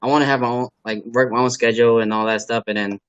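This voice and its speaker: A man's voice: medium pitch, fairly even intonation, quick pace, smooth, dry recording, highs cut off. Laid-back man rambling with fillers. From Los Angeles, USA. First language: Khmer. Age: adult parent. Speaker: guest, car builder and YouTuber. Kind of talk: casual interview chat.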